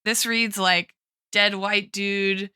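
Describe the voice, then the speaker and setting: A woman's voice: mid-range voice, flat delivery, slow pace, sharp tone, smooth, no room sound, clean studio sound. A woman co-hosting a podcast in casual intellectual discussion, mixing academic terms with informal, "like"-peppered talk. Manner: informal, academic, frequent "like" and "right?". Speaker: podcast co-host and guest.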